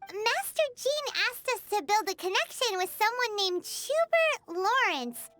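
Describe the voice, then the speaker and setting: A woman voicing a tiny, childlike fairy companion in a video game, squeaky, chirpy and blunt. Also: sing-song, a little slow, sharp tone, heavily acted. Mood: puzzled, earnest. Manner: squeaky, childlike, refers to self in third person. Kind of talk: scripted video game character acting.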